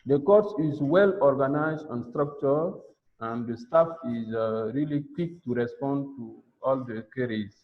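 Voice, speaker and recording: A man's voice: medium pitch, unhurried. Man; hesitant graduation thanks over dull, lo-fi sound. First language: French. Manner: hesitant, with frequent fillers. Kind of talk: graduation thank-you speech. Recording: dull lo-fi audio.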